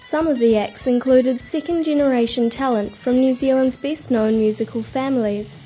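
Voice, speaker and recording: A woman radio reporter reading a scripted news feature in a measured way. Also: sits high, fairly even intonation, dark tone, faint music behind, low-quality recording. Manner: measured, informative.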